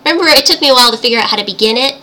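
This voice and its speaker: Tiny, bright, girlish woman's voice. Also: high voice. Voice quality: tiny, girlish, cartoon-princess-like voice. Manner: cheerful, giggly, chatty. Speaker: livestream host. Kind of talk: casual livestream chat. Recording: livestream audio with background noise.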